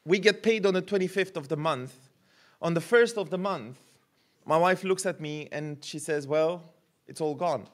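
A man in his thirties preaching a sermon at a podium mic in a church hall, animated and gesturing, often repeating words as he builds a point. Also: on the high side, moderate pace. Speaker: pastor. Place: church hall with curtained stage.

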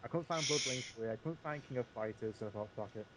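A man's voice: mid-range voice, fast talker, heavy background noise. A man chatting casually about films as a podcast panelist, often repeating and restarting his phrases. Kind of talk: casual film discussion.

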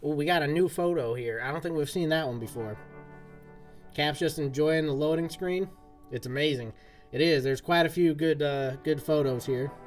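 Streamer, male, drawl, folksy chatter, slightly high voice, faint music behind. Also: normal speed. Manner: folksy cowboy-style talk. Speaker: game streamer. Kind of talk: casual live-stream chat. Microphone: desk mic, game audio from a speaker.

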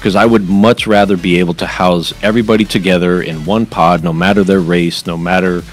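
Low-voiced middle-aged jail officer. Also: male voice, fairly even intonation, a little fast, soft background music. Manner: plain, matter-of-fact explaining. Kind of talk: studio podcast interview. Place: podcast studio. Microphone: boom mic on arm.

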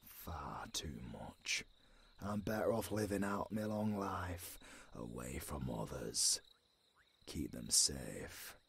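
A man with a British accent voicing a gruff, haunted old werewolf warrior in an audio roleplay, with a husky growl and a brooding delivery that drops toward a whisper. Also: extremely low pitch, fairly bright tone, heavily acted. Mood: weary, guarded. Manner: slow, brooding, half-whispered with sudden gruff rises. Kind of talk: dramatic ASMR audio roleplay.

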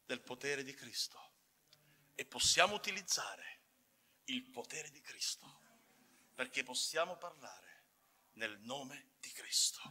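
A male evangelical preacher with a high, piercingly bright voice delivers a sermon in a reverberant hall. His delivery is emphatic and fervent, built in deliberate phrases. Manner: emphatic, swinging between measured phrases and fervent shouts. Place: church hall, indoors at a pulpit. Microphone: pulpit gooseneck microphone.

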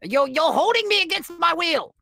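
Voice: very high-pitched, very animated, normal speed, vocal fry, pushed and bright, full character voice. A performer playing a vain, snide alien tyrant in a fan question show, with smug, sardonic, offhand delivery. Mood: indignant.

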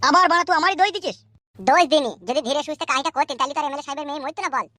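A man's voice: extremely high pitch, rapid-fire, bright, forceful tone, dry recording. A man voicing an anxious, foul-mouthed young village troublemaker in a comedy cartoon, bluntly arguing in a rural West Bengal accent. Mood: tense, bickering. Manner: blunt, argumentative, rambling.

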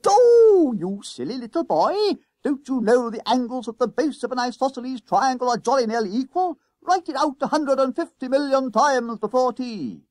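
Furious schoolmaster as mimicked by a boy: very high, wildly swooping, exaggerated storybook rant. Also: expressive, moderate pace, hardly any pauses, a little mellow, dry recording.

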